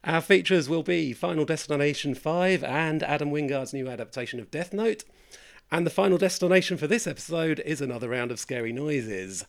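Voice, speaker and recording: A man hosts a casual horror podcast with a croaky, hoarse voice. His tone is gravelly and rough. His humor is dry and self-mocking. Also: on the high side, animated, fairly quick. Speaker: podcast host.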